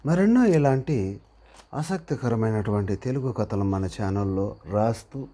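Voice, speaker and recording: A man reading a moral story aloud as a narrator, deliberately, in short phrases with pauses, as if for dictation. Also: fairly low pitch, animated, mellow.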